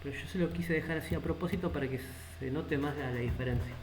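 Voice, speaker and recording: A man's voice: fairly high pitch, quick pace. Rioplatense man teaching code, echoey room. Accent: Rioplatense Spanish. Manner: thinks aloud while typing code. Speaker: programming instructor. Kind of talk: live coding lesson. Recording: echoey room with loud background noise.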